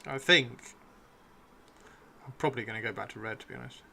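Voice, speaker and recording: Bright-voiced man hosting, voice wearing thin. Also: medium pitch, a little fast, gravelly, a little hiss or hum. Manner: excitable, repeats phrases. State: losing his voice. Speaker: livestream host. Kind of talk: casual live stream chat while painting miniatures.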